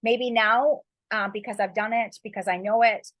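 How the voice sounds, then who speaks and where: A woman's voice: on the high side, steady tone, moderate pace, a bit bright, no echo. A woman author giving casual, encouraging writing advice in a loose, rambling way, full of fillers and spiritual turns of phrase. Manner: loose, rambling, heavy with "like" and "you know".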